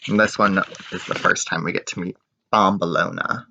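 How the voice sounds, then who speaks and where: A man's voice: low voice, steady tone, moderate pace, vocal fry, pushed and bright, a little hiss or hum. A man reading a picture book aloud to children like a teacher, expressively and with chatty asides. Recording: dull, echoey room recording.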